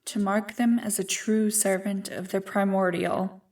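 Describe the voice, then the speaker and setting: A woman voicing an ancient, evil primordial being in an ASMR roleplay, smug and tempting, with a deliberate, taunting delivery. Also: medium pitch, little pitch movement, a little mellow, recorded from a distance, lightly acted. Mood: sly, commanding. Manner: slow, deliberate, taunting, coolly amused.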